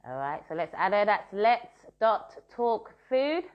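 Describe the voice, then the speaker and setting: A woman's voice: medium pitch, very animated, measured pace, muffled recording. A woman hosting a live-stream interview, effusive and full of compliments, speaking over a video call. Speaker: host.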